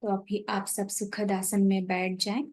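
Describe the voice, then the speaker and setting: A woman leading a guided meditation, giving gentle instructions in short phrases with pauses. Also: medium pitch, level tone.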